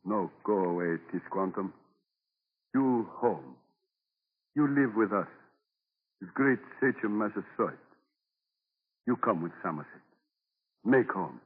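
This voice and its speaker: Slow, halting Native man, husky. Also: lowish voice, lively intonation, exaggerated voice. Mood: kindly insistent. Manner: halting broken English in clipped phrases. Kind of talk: 1940s radio drama acting. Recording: tinny, narrow-band 1940s radio broadcast.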